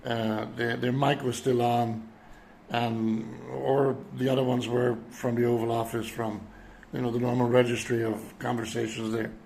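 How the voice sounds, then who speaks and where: A man in his seventies from Europe speaking in an unscripted, reflective monologue, halting as he searches for words. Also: mid-range voice, little pitch movement, vocal fry, a little mellow, slight background noise, poor audio. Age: 70s. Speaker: citizen vlogger addressing world leaders.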